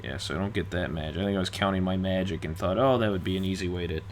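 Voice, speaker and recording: A young man co-hosting a board game show, chatting in a relaxed way with fillers and light laughs. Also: low voice, racing, slight background noise. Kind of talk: casual game commentary.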